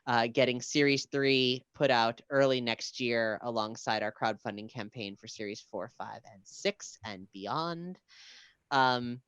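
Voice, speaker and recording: A podcast host giving casual livestream announcements in a halting, hesitant way. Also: mid-range voice, a bit bright, clear, resonant tone, no echo. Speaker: host of an audio drama podcast.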